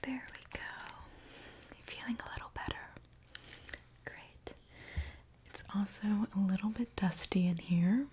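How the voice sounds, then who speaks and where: A woman whispering softly in an ASMR roleplay as a friendly, caring mechanic, with a gentle, soothing manner. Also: mid-range voice. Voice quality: breathy soft whisper. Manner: gentle, unhurried, soothing reassurance. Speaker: ASMR performer playing a robot mechanic.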